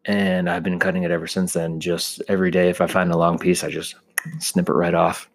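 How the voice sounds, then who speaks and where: American male, thirties, low-pitched voice, loose conversational solo monologue with joking exaggeration. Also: little pitch movement, a little fast. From United States. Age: 30s. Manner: joking, self-deprecating exaggeration. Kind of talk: casual rambling solo monologue.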